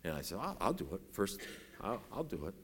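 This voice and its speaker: A middle-aged man with a bass voice preaching a sermon in a folksy, self-deprecating way, rising to raised, urgent preaching. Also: very animated, brisk, a bit bright, breathy edge. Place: church sanctuary. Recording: long reverb in a large hall.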